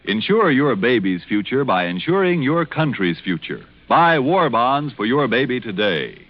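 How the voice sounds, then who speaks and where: Animated 1940s American radio announcer, male. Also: mid-range voice, moderate pace. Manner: polished, emphatic salesman's delivery, spelling out the brand. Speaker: radio announcer and sponsor pitchman. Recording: dull vintage 1940s radio recording.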